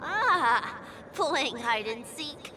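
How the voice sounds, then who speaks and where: A playful little girl from a video game, chirping in a very high, bouncing voice at an unhurried pace. She sounds some distance off, with clear background noise around her. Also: animated, full character voice. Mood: eager. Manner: eager, chirpy childlike calling.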